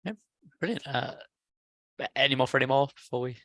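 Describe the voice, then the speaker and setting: Male chair, bright forceful tone, casual facilitation, close dry recording. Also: medium pitch, brisk, no room sound. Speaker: meeting chair. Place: online video call.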